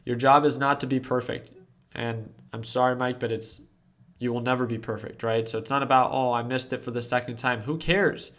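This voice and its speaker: A man's voice: mid-range voice, fairly even intonation, moderate pace, a bit bright. A man in his twenties hosting a casual trading livestream, earnestly coaching viewers from a desk at home. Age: 20s. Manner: earnest coaching, reading chat aloud. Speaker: stock trading livestream host.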